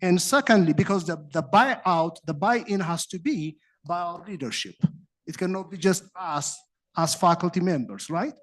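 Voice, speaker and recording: A man from Ethiopia with an Ethiopian accent, a college dean putting an earnest, explanatory question to a panel with hesitant pauses. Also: high-pitched, animated, a bit bright. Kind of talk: spoken question at a panel discussion.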